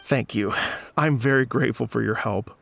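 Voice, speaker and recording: A man's voice: mid-range voice, moderate pace, creaky, a bit bright. A man voicing a polite, earnest and welcoming festival organiser in a video game. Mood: polite, grateful. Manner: courteous, earnest. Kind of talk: video game cutscene dialogue.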